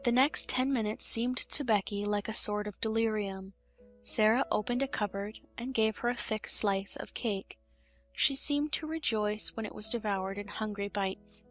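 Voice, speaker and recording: A woman reading a children's story as an expressive audiobook narrator. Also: mid-range voice, moderate pace, faint music behind.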